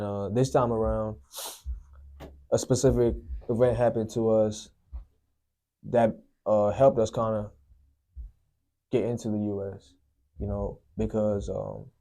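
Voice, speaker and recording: A young man from Sri Lanka, a guest telling his life story in a soft, unhurried way, often filling with "you know, man". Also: lowish voice, steady tone. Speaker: guest sharing testimony. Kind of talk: personal testimony, casual storytelling.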